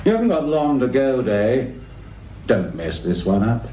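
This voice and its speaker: A stern English superintendent in a radio play, curt and full of disapproval. His pitch moves widely, and the recording is echoey and a little dull. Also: big pitch swings, unhurried, mellow, a little hiss or hum. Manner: curt, cutting, authoritative. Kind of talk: radio drama acting. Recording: roomy, distant, dull radio drama recording.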